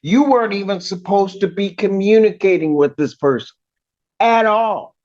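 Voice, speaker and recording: A woman's voice: medium pitch, normal speed, darkish tone. An angry woman judge speaking sternly from the bench, scolding bluntly and emphatically. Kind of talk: stern courtroom address. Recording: dull, lo-fi courtroom audio.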